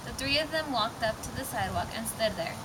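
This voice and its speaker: High-voiced woman narrating a story. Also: expressive, fast talker. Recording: very noisy amateur recording.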